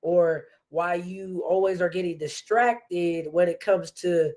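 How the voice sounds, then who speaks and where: Female podcast host, congested from allergies; unhurried, earnest motivational delivery. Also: lowish voice, fairly even intonation, darkish tone. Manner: slow, earnest, with many fillers and restarts. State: stuffy nose from allergies. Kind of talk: faith-based motivational podcast talk.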